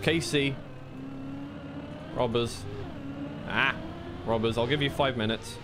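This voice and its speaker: A man with a mid-pitched voice commentates an online racing match. He is informal and a little flustered, mixing race calls with chatty asides to stream viewers. Game car noise is clearly audible behind him. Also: steady tone, slow pace. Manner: informal, slightly flustered, thinking aloud. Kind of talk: live racing game commentary mixed with casual stream chat.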